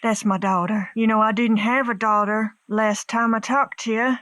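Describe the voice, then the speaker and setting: A woman's voice: fairly high pitch, steady tone, normal speed, fairly bright tone, dry recording, close to an everyday voice. A woman playing a naive, flighty and literal-minded caller to a radio advice show in an audio comedy, earnest and hesitant, stammering as she goes. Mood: wistful, confessional.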